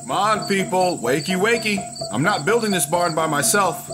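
Bossy, lively working man acted by a man. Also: high voice, normal speed, over music. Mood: impatient. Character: bossy, hard-working, no-nonsense brother. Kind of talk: audio drama acting.

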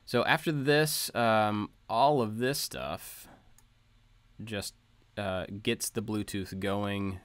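A man's voice: fairly low pitch, takes it slowly, dry recording. A man presenting a casual walkthrough in an informal, explanatory way, going step by step as a voice-over. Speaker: tutorial presenter. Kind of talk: casual screen-share code walkthrough.

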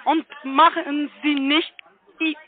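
A woman's voice: high voice, flat delivery, slow. A woman in a heated phone argument, speaking in an agitated, rude way. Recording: tinny phone line.

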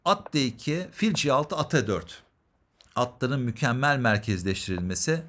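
Animated man explaining chess moves. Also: mid-range voice, normal speed. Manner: explanatory, addresses viewers with rhetorical questions. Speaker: chess instructor, commentator. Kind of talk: chess game analysis commentary.

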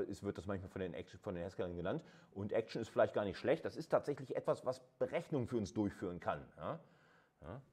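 Animated, low-pitched male lecturer. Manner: conversational, addresses listeners directly, checks in with short tags. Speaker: university lecturer. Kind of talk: informal technical lecture.

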